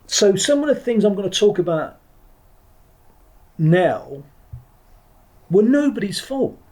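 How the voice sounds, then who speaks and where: Middle-aged man, husky voice, a bit high, blunt and rambling, interview guest. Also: big pitch swings, takes it slowly, a little mellow. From England. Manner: blunt and digressive, with frequent restarts and the odd swear word. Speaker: podcast guest, former Marine trainer. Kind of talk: reflective interview talk.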